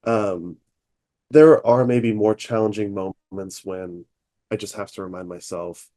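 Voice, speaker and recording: A man who works as a therapist, co-hosting a podcast and speaking candidly and reflectively in a relaxed chat. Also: unhurried. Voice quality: relatively deep voice. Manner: reflective, candid, frequent fillers. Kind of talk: casual chat.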